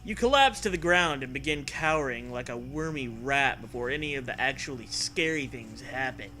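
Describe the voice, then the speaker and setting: A man's voice: medium pitch, lively intonation, moderate pace, pushed and bright, rough recording. A man reading a story aloud for comedy, with jokey, profane riffs and a theatrical delivery. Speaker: host reading a choose-your-own-adventure story aloud. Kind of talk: comedic read-aloud with casual riffing.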